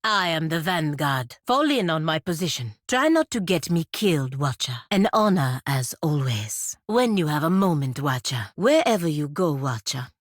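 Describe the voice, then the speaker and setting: A woman's voice: low-pitched, sing-song, normal speed, few pauses, no room sound, clean studio sound. An actress voicing a proud, sardonic woman paladin in a video game, with a formal, clipped, commanding manner. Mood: formal, composed. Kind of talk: video game character voice lines and battle barks.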